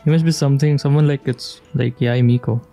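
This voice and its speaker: A woman's voice: a bass voice, fairly even intonation, fairly quick. A woman doing casual playthrough commentary on a video game, reacting aloud in a teasing, playful way. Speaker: game streamer. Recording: faint game music behind the voice.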